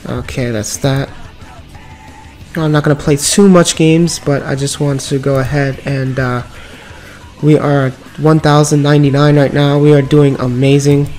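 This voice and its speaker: A man with a slightly raspy voice from a cold, giving upbeat, rambling commentary over mobile card game play. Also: fairly high pitch, flat delivery, a little slow, a little mellow. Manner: upbeat, filler-heavy running commentary. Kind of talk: casual gameplay commentary. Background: background music.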